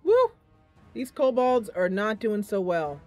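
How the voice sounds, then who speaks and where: A woman talks through her game session in a loose, chatty way, pausing often with fillers as she thinks aloud. Her voice sits at a middling pitch. Faint game music plays underneath. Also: big pitch swings, darkish tone. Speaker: gaming channel host. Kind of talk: casual gameplay commentary.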